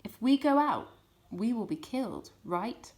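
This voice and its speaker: A woman's voice: fairly high pitch, sing-song, measured pace. A woman voicing a jovial, confident male fox leader, making rousing speeches in a children's storybook. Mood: serious, rousing. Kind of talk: children's storybook character reading.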